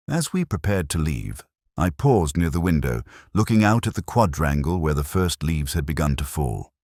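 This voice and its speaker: A man playing a contemplative, brooding police detective, narrating a mystery audio drama in the first person with measured, solemn, literary delivery. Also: rumbling low, a little mellow, no echo, clean studio sound, heavily acted. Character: brooding, contemplative male police detective recounting a murder case.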